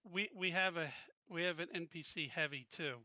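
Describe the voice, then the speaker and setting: A man's voice: on the high side, normal speed, bright, forceful tone, no room sound, dull audio. A man chatting casually as a player in a tabletop game.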